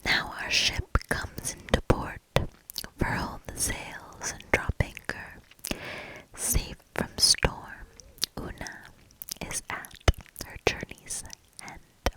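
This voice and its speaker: A woman whispering a fairy tale as ASMR, soft-spoken and deliberate, with measured storybook phrasing. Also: low-quality recording.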